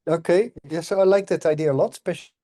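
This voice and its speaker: A man's voice: a little high, steady tone, fast, mellow. A middle-aged man from the Netherlands, a guest explaining things on a video call and often checking in with "correct?". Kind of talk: casual call conversation.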